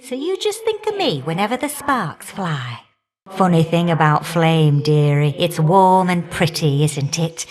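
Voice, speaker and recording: Fiery older goddess for a game, cheeky and folksy, teases you fondly. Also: female voice, low voice, sing-song, measured pace, darkish tone. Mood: cheeky, affectionate. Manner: folksy, teasing, affectionate endearments and colloquial turns of phrase. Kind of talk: video game character voice acting.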